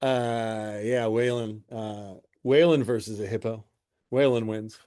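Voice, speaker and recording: A man's voice: lowish voice, steady tone, takes it slowly, mellow. Sardonic grumbling man, podcast chat. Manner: sardonic, grumbling, dry humor. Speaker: podcast co-host. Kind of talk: casual profane podcast chat.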